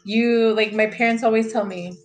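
A woman in her thirties hosting a podcast in a casual monologue, talking fast and excitedly, stretching her words, swearing often and dropping Spanish phrases into her talk. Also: a little high. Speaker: podcast host.

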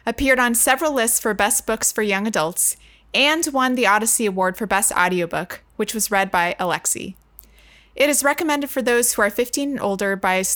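A woman's voice: medium pitch, fairly bright tone. Woman podcast host, mid-range voice, part reading an intro part chatting with co-hosts. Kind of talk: scripted podcast introduction and friendly discussion.

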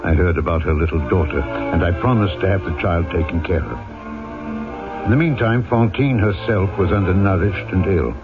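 An actor playing a compassionate, authoritative town mayor in an old-time radio drama, speaking in a dignified, earnest way. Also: male voice, deep voice, moderate pace. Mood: reflective narrating. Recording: tinny old radio broadcast, faint music.

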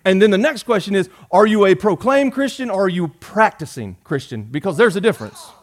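A man, preaching a sermon in a folksy, joking way, rising loud and dropping soft. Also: sits high, lively intonation, fairly quick. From Georgia. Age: 40s. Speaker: pastor.

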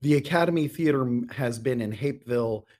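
A middle-aged man answering interview questions as a guest, measured and given to emphatic repetition. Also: mid-range voice, a little mellow. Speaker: interview guest, theater artistic director.

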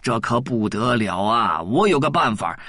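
A man voicing an old grandpa ant who calls everyone together in an urgent, drawn-out way. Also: medium pitch, big pitch swings, sharp tone, no room sound, clean studio sound.

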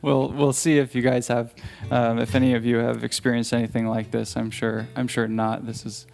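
Gravelly man introducing a song. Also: mid-range voice, level tone, normal speed, darkish tone. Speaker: musician and co-songwriter introducing songs. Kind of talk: casual stage banter. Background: faint acoustic guitar.

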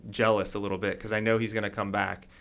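A middle-aged man from hosting a casual podcast interview, talking haltingly with many fillers and restarts. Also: on the low side, flat delivery, creaky, fairly bright tone. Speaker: podcast host.

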